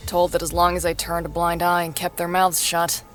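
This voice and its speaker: A woman's voice: on the low side, monotone, moderate pace, natural voice. An actress playing a brusque, no-nonsense, sweary woman investigator in an audio drama, blunt and commanding. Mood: businesslike. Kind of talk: dramatic audio fiction acting.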